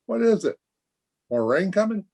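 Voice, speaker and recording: A man playing an eager, breezy, slangy teenage high school boy in an old-time radio comedy. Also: very animated, moderate pace, vocal fry, darkish tone, heavily acted. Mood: eager, curious. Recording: remote video-call recording.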